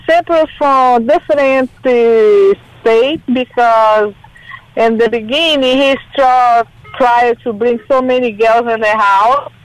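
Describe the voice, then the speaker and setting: A woman from Brazil with a Brazilian Portuguese accent, a radio caller whose first language is Portuguese, speaking haltingly and searching for words. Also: a little high, dry recording, low-quality recording. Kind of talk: radio call-in.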